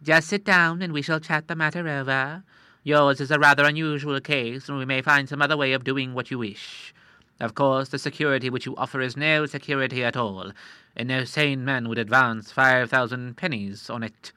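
A man voicing a shrewd middle-aged moneylender who talks in a slow, measured, coolly persuasive way. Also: mid-range voice, steady tone, sharp tone, smooth, no echo.

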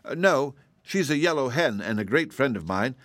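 A man's voice: medium pitch, animated, moderate pace, a bit bright, no echo, pitched above the performer's own voice, close to an everyday voice. A man voicing a brave, cheerful little girl adventurer who explains things in a confident, matter-of-fact way for a storybook. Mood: brightly explaining.

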